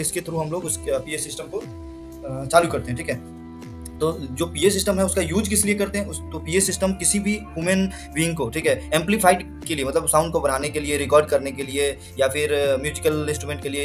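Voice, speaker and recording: A man's voice: a little high, fairly even intonation, a little fast, music in the background. A man with an Indian accent giving an informal technical lesson, explaining things repetitively with frequent check-in tags. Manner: mixes Hindi with English technical terms. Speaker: instructor presenting an explainer video.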